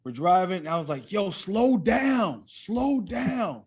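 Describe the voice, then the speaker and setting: High-voiced male comedian telling stories casually. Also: slow, husky. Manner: animated, acts out quoted dialogue. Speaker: comedian, podcast guest. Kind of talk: casual comic storytelling.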